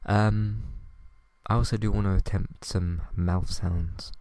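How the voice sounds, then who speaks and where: A young man hosting a podcast, talking softly and hesitantly with many ums as he tries out ASMR for the first time. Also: fairly low pitch, steady tone, takes it slowly, dry recording. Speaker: podcast host. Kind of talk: first attempt at ASMR, quiet podcast chat.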